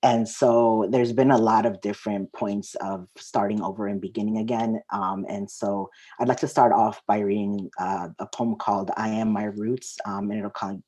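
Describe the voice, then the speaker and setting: A woman's voice: a bass voice, dark tone, husky. A woman, sharing her poetry at an open mic. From Mexico, raised partly in the US Southwest. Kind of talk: spoken-word poetry reading with casual introduction.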